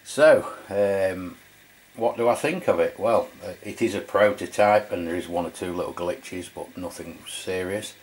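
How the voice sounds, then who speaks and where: A middle-aged Englishman with a low-pitched voice talks through a piece of equipment. He is informal and matter-of-fact, in the casual style of a hands-on video review. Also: unhurried, poor audio. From England. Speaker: radio review channel host.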